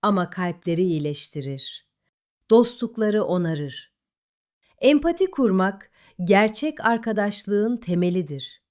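A woman narrating a scripted children's fairy tale in an expressive, dramatic storytelling manner. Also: medium pitch, unhurried.

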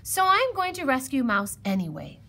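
Woman as a loyal snake, calm, brave, unhurried, storybook character, bright tone. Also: sits high, gravelly, voice pushed up, exaggerated voice. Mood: resolute.

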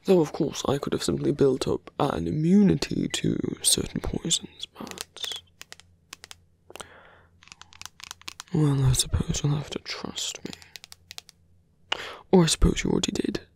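A man playing a seductive, teasing fantasy villain in an ASMR roleplay, breathy and often whispering, with a languid, smugly menacing, taunting manner. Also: a little high, very animated, normal speed, darkish tone, a little hiss or hum. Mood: amused, reassuring.

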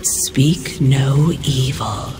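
A woman playing a seductive, ominous female Death in a video game, speaking in a solemn, measured, sultry way. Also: very deep voice, full character voice, low-quality recording. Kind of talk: video game character acting. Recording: music behind the voice.

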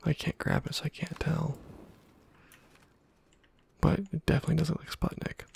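A man giving ASMR gameplay commentary in a soft half-whisper, musing casually to himself in a hushed way. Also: on the high side, flat delivery, moderate pace, vocal fry, a little hiss or hum. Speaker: video game streamer.

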